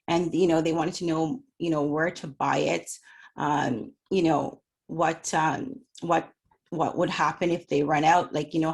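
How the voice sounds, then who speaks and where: A woman's voice: low voice, gravelly. A middle-aged woman business owner speaking remotely at a virtual event, telling her story in a chatty, personable way with lots of "you know" fillers. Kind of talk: conversational talk about her business journey. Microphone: remote call for a virtual event.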